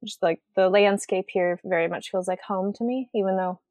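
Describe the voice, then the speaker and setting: A woman with an American accent, raised speaking Swedish, talks in a medium-pitched voice. The sound is dry, with little room in it. She speaks casually, as a guest in a conversation. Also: moderate pace, a little mellow, no room sound. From rural western Washington state, USA. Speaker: podcast guest, writer and artist.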